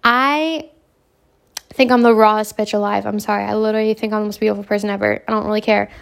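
A young woman hosting a casual self-help podcast, hoarse from a cold, talking in a brash, self-assured way and swearing freely. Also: medium pitch, steady tone, quick pace. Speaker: podcast host.

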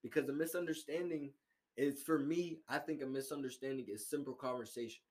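A man's voice: medium pitch, steady tone, fairly quick. A man co-hosting a casual podcast about relationships, talking in a laid-back way and often saying "you know what I'm saying". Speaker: podcast co-host.

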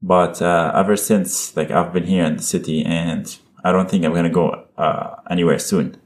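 A man's voice: low-pitched, level tone, moderate pace. A man in his thirties, from Lebanon and raised in Abu Dhabi, hosting a podcast and answering interview questions in a loose, hesitant way, full of fillers. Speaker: podcast host being interviewed.

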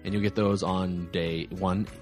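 A man's voice: sits low, level tone, measured pace, over music. A man in his thirties hosting his own podcast, talking casually and rambling with lots of fillers and self-deprecating jokes, tired from little sleep. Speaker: podcast host. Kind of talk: casual solo podcast monologue.

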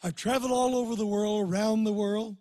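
An eighty-five-year-old man with an old, hoarse voice, preaching to a congregation as a guest pastor in a folksy way and calling for amens. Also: high voice, fairly even intonation, fairly quick, warm tone, no room sound. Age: 80s. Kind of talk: sermon with personal stories. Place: church sanctuary before a congregation.

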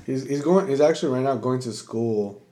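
A man's voice: mid-range voice, normal speed, dark tone. A young man talking casually on a podcast, easygoing and full of fillers like "bro" and "man". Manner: laid-back, lots of fillers and "bro", "man". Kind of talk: casual chat.

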